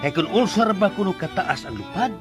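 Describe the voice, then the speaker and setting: A man's voice: high-pitched, animated, brisk, music in the background. A man acting a humble, cautioning father in a Filipino radio drama, admonishing, with a Cebuano accent.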